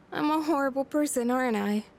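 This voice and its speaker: Gravelly-edged, high-voiced hurt teenage girl. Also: darkish tone. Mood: bitter, defeated. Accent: American. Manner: emotional, vulnerable, confiding. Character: teenage schoolgirl fighter, hurt, bitter, grieving daughter. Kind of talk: English video game voice acting.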